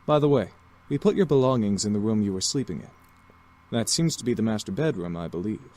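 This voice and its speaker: An actor playing a polite, serious young demon gentleman in a visual novel game, formal and attentive. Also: on the low side, lively intonation, natural voice. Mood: gently inquiring. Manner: formal, courteous, measured. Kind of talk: visual novel character acting.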